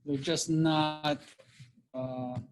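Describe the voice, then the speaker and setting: A man in a casual meeting discussion, explaining at a measured pace with many fillers. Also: a little high, fairly even intonation, slight background noise. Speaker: meeting participant.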